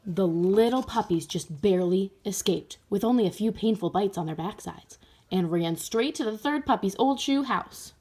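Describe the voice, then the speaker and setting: Animated woman reading a children's story. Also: medium pitch, husky. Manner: expressive, with asides to young listeners. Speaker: storybook reader. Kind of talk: animated children's read-aloud.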